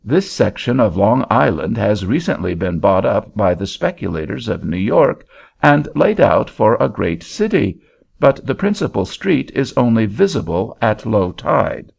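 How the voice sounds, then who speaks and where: A man voicing a helpful local farmer who speaks calmly and matter-of-factly. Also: lowish voice, a little slow, creaky, husky, exaggerated voice. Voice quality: calm, matter-of-fact. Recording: dull lo-fi recording.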